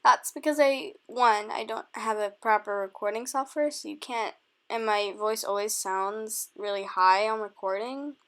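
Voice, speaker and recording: A woman with a high voice talking to camera in a rambling vlog, hesitant and defensive, with halting restarts and trailing-off sentences. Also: fairly even intonation, a bit bright. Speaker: video creator. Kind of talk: rambling vlog response to comments.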